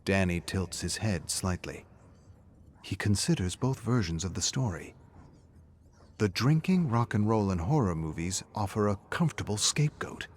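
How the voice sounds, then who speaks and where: A man's voice: lowish voice, lively intonation, moderate pace, a little hiss or hum. A man giving a dramatic, scripted narration of a horror story.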